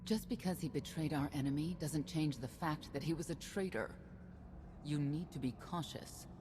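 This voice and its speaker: An actress playing a self-assured, candid woman knight in a game, composed and measured. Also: sits low, background noise, natural voice. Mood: resolute, guarded. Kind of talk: video game cutscene acting.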